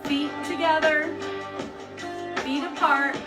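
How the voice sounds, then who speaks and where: A woman with a slightly high voice leads a preschool storytime at a very slow pace. She uses short, simple phrases and an encouraging singsong, with music clearly audible. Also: flat delivery. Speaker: library storytime leader for preschoolers. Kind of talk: children's storytime reading and guided action song.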